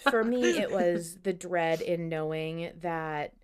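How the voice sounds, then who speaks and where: A woman with a fairly low, smooth and clear voice hosts a casual fan podcast. She talks informally and emphatically, putting animated stress on her points. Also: slow. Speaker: podcast host.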